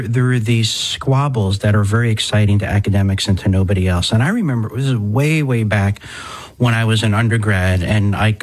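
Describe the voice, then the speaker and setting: A middle-aged man hosting a talk radio show, telling stories in a wry, sardonic way. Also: fairly low pitch.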